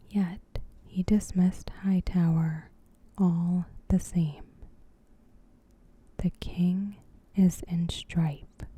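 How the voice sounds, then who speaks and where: A woman softly whispering a scripted recap as an ASMR host, in a gentle, breathy, measured way. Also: on the low side. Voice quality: breathy, whispered soft speech. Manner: gentle, unhurried, evenly measured.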